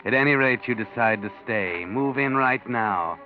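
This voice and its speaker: An actor playing a knowing, ominous narrator of a 1940s radio mystery, needling the listener with insinuating questions. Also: male voice, mid-range voice, normal speed, natural voice. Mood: sly, ominous. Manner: addresses the heroine directly with needling questions. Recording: tinny 1940s radio recording, music behind.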